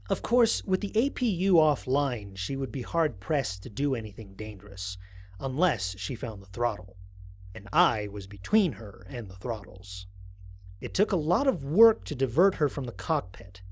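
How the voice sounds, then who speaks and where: A man playing a curious, bemused space explorer, narrating mission logs in an expressive, musing way for an audio drama. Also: on the high side, breathy edge. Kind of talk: dramatic first-person mission log narration.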